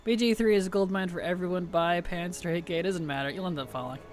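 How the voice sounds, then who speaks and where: A woman game streamer chatting casually and playfully on a livestream, her tired voice starting to weaken and turn gravelly. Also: on the low side, fairly quick, soft background music. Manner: playful, teasing, chuckles often. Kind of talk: casual livestream chat over gameplay.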